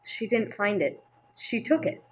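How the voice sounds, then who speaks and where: High-voiced gentle nun, played by a woman. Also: very animated, unhurried, heavily acted. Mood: gentle, patient. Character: gentle church sister translating for a child. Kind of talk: amateur radio play acting. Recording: clearly noisy amateur recording.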